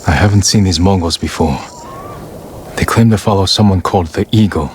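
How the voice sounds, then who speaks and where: An actor playing a grave, determined samurai lord in a cinematic video game, speaking in a solemn, terse way. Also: male voice, deep voice, a little fast, noisy background, close to an everyday voice. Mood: grave, controlled. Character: grave samurai lord, determined and haunted by his father's death. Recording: loud music behind the voice.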